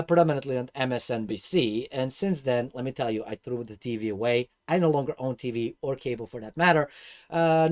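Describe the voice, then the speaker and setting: Higher-voiced man with a Serbian accent. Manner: animated storyteller, emphatic, rhetorical questions. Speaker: host and instructor of an investing course. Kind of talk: informal personal-story lecture to viewers.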